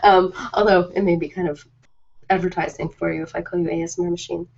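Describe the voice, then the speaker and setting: A woman's voice: on the low side, little pitch movement, quick pace, vocal fry, a little mellow. A woman chatting casually and informally on a live stream, ad-libbing in a rambling, self-deprecating way. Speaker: live-stream host of ASMR and ritual videos. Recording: slightly dull lo-fi live-stream audio.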